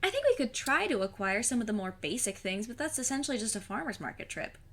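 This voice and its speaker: Lively, earnest, plucky teenage girl. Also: a little high, fast talker, full character voice. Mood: matter-of-fact. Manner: earnest, literal, matter-of-fact. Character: plucky, earnest fifteen-year-old girl, would-be witch's apprentice. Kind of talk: audio drama acting.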